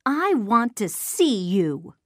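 A woman's voice: medium pitch, sing-song, slow, fairly bright tone, no room sound, clean studio sound, pitched above the performer's own voice, heavily acted. A woman voicing a grumpy, demanding bedridden mother in a storybook, querulous and hard to please. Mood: peevish. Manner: querulous, complaining, drawn out. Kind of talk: storybook character dialogue.

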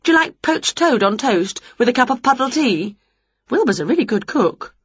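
A woman voicing an excitable, bossy, scatterbrained witch in a children's story, full of exclamations and mock swearing. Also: a little high, normal speed, slightly rough, dry recording, muffled recording, pitched above the performer's own voice. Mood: cheerful.

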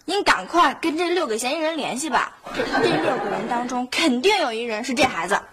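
A woman's voice: high-pitched, expressive, a little fast, a loud crowd. A woman playing a teasing daughter in a family sitcom, speaking. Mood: amused teasing. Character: bright, teasing daughter questioning her mother. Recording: noisy, audience audible behind.

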